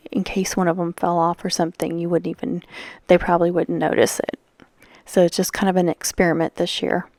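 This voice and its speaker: A woman's voice: medium pitch, dry recording. Woman with a scratchy voice, chatty craft-tutorial host, rambling delivery, dry close sound. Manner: rambling, chatty, lots of fillers. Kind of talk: casual voice-over while crafting.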